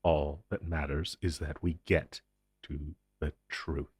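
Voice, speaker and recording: Man playing a low, menacing monster interrogator. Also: little pitch movement, darkish tone, breathy edge, no room sound, clean studio sound, full character voice. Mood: mocking, smug. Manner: taunting, condescending, theatrical with deliberate pauses. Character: menacing male monster interrogator, inhuman, smug and possessive. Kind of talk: intense audio roleplay drama.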